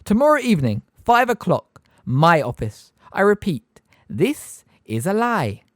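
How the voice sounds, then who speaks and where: A man reading an audiobook voices a pompous, sweetly girlish witch teacher who talks slowly and with chilly precision. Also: high-pitched, very animated, dry recording, studio quality, voice pushed up. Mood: sugary stern. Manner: prim, sweetly girlish, slow and deliberate. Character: sugary, cold, pompous middle-aged witch teacher.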